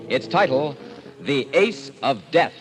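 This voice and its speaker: A man announcing an old-time radio mystery show in an ominous, theatrical, deliberate manner. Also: mid-range voice, big pitch swings, low-quality recording. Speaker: announcer and host. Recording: vintage radio recording, loud music.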